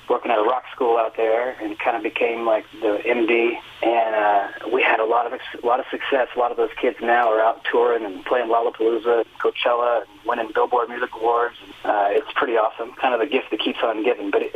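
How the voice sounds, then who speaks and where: A man's voice: medium pitch, husky. A fifty-year-old man, a singer and music teacher, telling long earnest stories with many fillers in a phone interview. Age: 50s. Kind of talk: relaxed phone interview storytelling. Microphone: telephone. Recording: tinny phone line.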